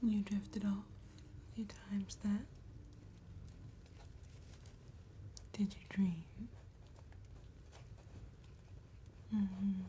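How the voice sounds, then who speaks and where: A courteous vampire played by a woman speaks in a soft, whispery ASMR roleplay. Her delivery is very slow and dark-toned, with old-fashioned politeness hiding a predatory streak. Faint noise and ambience sit behind the voice. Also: mid-range voice, level tone, close to an everyday voice. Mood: soothing, formal. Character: courteous, sly female vampire tattooist, gothic and predatory.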